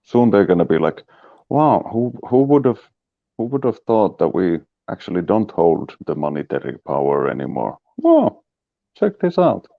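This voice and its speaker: Gravelly low-voiced man. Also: normal speed, no echo, narrow, tinny audio. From Finland. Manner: animated, acts out quoted reactions. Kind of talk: casual online chat.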